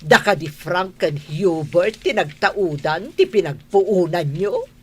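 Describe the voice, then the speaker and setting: A woman's voice: lowish voice, lively intonation, slow pace, darkish tone, breathy edge, heavily acted. A woman with an Ilocano Filipino accent playing a haughty, scornful rich madam and possessive mother, scolding imperiously in a radio drama. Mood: demanding, scornful.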